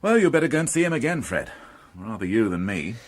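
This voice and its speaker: A man's voice: mid-range voice, very animated, quick pace. A man acting in a radio drama as a dry, grumbling police inspector, wry and easily indignant. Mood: dry, weary. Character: dry, grumbling male police inspector, mildly old-fashioned. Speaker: actor.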